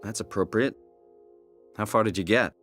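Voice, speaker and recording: A man's voice: on the low side, moderate pace, a bit bright, faint music behind, natural voice. An actor playing a bewildered, earnest everyman in a video game, with a questioning, hesitant manner. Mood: puzzled. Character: bewildered, earnest everyman whose mind is in a machine body.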